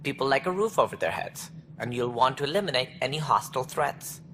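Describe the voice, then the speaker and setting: An actor playing an officious alien station director in a video game, speaking precisely and formally with a wry, earnest streak. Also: male voice, mid-range voice, lively intonation, a little fast, bright, forceful tone, a little hiss or hum. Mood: wry, advisory. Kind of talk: video game dialogue acting.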